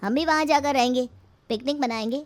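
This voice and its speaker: A woman's voice: sits high, brisk, slight background noise, natural voice. A woman voicing lazy, freeloading young sons-in-law in a breezy, wheedling manner for a comic story. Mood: breezy flattery.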